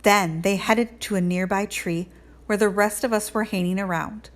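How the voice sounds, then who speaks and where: Lady reading a kids book out loud, teacher style, expressive, medium pitch. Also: normal speed. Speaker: teacher reading a storybook aloud. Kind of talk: expressive children's read-aloud narration.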